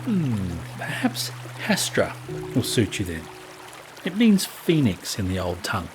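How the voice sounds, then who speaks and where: Seasoned male rogue chief: low, measured, protective, reassuring. Fantasy audio drama with music underneath. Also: huge pitch swings. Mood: amused, accepting. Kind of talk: full-cast fantasy audio drama acting.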